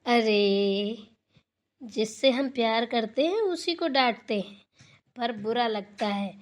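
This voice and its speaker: A woman voicing a caring, middle-aged village mother-in-law in a family cartoon, coaxing, animated and motherly. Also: a little high, takes it slowly. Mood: coaxing, reassuring.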